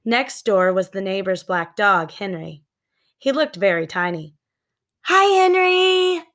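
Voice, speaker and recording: A woman's voice: fairly low pitch, animated, unhurried, a bit bright. A woman reading scripted narration from a children's storybook. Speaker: storybook reader.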